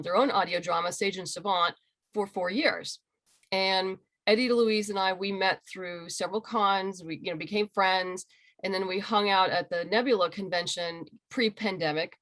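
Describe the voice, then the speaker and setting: A woman's voice: medium pitch, a bit bright. A middle-aged woman answering interview questions on a video call in a chatty, informal, self-deprecating way. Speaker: interview guest, comic book creator and writer.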